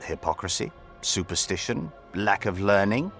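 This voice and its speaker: A man voicing an urbane count in a video game, with florid, flattering and theatrical phrasing. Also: low voice, moderate pace. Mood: suave, musing. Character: urbane, flowery nobleman, a smooth and theatrical count. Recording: music behind the dialogue.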